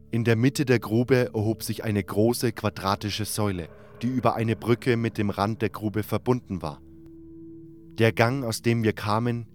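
Low-pitched male narrator over music. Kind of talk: scripted first-person fantasy audio drama narration. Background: prominent music.

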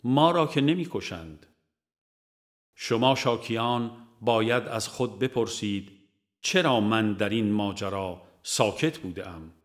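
A man reading a religious audiobook in a measured, formal way, as scripted narration. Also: fairly low pitch.

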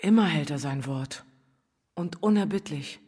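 An actress playing a worldly, bitter streetwalker in a radio play, speaking in a knowing, matter-of-fact, sardonic way. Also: female voice, deep voice, animated, unhurried, a little mellow, breathy edge, full character voice. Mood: guarded, indignant. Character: worldly, bitter streetwalker taking in a younger girl. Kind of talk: German radio play acting.

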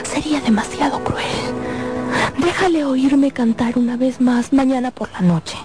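High-voiced, anguished young woman in love. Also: unhurried. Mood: anguished, fearful. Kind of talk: radio drama acting. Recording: music playing behind the voice. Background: music.

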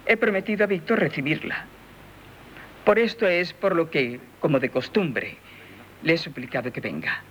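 A woman's voice: low voice, lively intonation, normal speed, slight background noise. An actress playing an anxious, morally strict aristocratic mother in a 1970s radio drama, speaking formal, theatrical with a lamenting manner. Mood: anxious, insistent.

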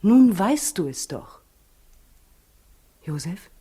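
Young expectant wife in an old 60s german radio drama, soft dark breathy voice, pleading but wont let go. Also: female voice, medium pitch, big pitch swings, extremely slow, full character voice. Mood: pleading, intense. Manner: earnest, pleading, insistent. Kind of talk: 1960s German radio play acting.

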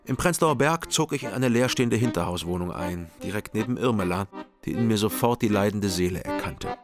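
A man playing a low-voiced calm detective. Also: soft background music, lightly acted. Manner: calm, measured, wry. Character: sober-minded male private detective narrator. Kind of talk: first-person radio crime narration.

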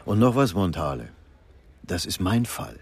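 A gruff male police inspector for a radio crime drama. His voice is very low and rough-edged, husky at the core. He talks in terse, matter-of-fact sentences and sounds used to giving orders. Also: very animated, a little slow, heavily acted. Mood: businesslike, firm.

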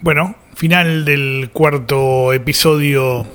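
A man's voice: fairly high pitch, steady tone, slow, a bit bright. A man with an Argentine accent hosting a radio show, chatting casually as he signs off. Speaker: radio host. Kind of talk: casual radio sign-off chat.

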